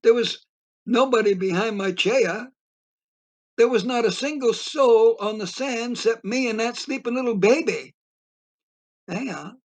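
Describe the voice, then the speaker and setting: A man playing a rambling, easily spooked beachgoer who tells his tale in dramatic, drawn-out audio play narration. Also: very high voice. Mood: chatty, puzzled. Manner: theatrical, drawn-out emphasis.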